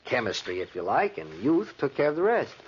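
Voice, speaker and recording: A man's voice: mid-range voice, huge pitch swings, fairly quick, husky, exaggerated voice. A man playing a self-assured, teasing bachelor writer in a 1940s radio drama, with a breezy, bantering manner. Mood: breezy, confident. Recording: dull lo-fi 1940s radio recording.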